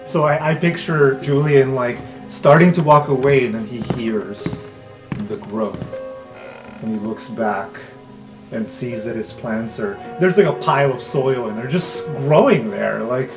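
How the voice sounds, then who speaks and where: Game master, man, improvised fantasy narration, average pitch, light music bed. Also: a little slow, poor audio.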